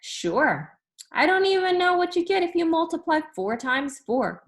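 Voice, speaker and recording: A woman's voice: extremely high pitch, very animated, unhurried, pitched above the performer's own voice, exaggerated voice. A woman voicing a dim, puzzled but cheerful second-grade schoolteacher in a storybook, with exaggerated, questioning confusion. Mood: puzzled, breezy.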